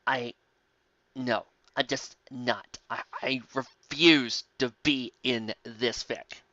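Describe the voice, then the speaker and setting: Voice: medium pitch, takes it slowly, no room sound, pitched below the performer's own voice. Stubborn, sulky young Ed, voiced by a reader. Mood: sulky refusal. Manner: flat refusals, indignant, drawn out. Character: stubborn, sulky young anime hero refusing his role. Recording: dull lo-fi recording.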